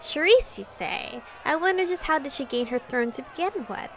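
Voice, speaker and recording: A woman voicing an aloof, sardonic male vampire with a measured, formal, mocking manner, read from a video game. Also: high voice, expressive, a bit bright, a faint crowd, voice pushed up. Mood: mock thoughtful.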